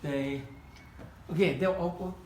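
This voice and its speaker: A man whose first language is Chinese, leading a live kokedama-making demonstration in a room and often pausing to search for words. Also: on the high side, echoey room, background noise. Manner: halting, searching for words, many restarts. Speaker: kokedama workshop founder demonstrating. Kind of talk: live hands-on craft demonstration. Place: room at a home demonstration event.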